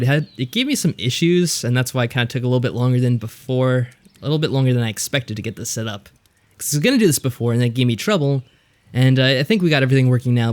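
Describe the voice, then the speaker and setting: A man giving casual commentary as the co-host of a let's play. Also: mid-range voice.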